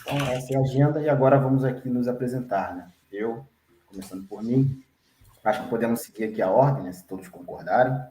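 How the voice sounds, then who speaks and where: A Brazilian man working as a data engineer, introducing an online class and himself at an unhurried pace. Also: medium pitch, warm tone, echoey room, slight background noise. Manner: unhurried, frequent tag questions.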